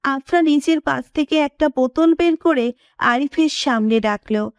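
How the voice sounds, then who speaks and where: A woman narrates a scripted romantic story. Her voice sits high in pitch. The recording is very dry, with no room sound around her. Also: a little slow, no room sound.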